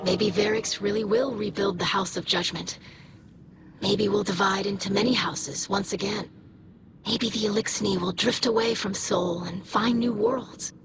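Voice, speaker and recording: An actress playing an earnest young female alien scribe in a game, gentle and sincere. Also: medium pitch, heavy background noise, close to an everyday voice. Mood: hopeful. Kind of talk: heartfelt game monologue. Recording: loud music under the voice. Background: music.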